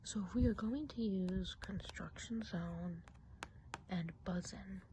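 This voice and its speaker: A soft, breathy whisper with a dark, mellow tone comes from a gossipy woodland witch, played by a woman in an ASMR roleplay. She confides chattily in the listener and asks gentle questions, over clearly audible background noise. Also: mid-range voice, takes it slowly, natural voice. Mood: gentle, musing.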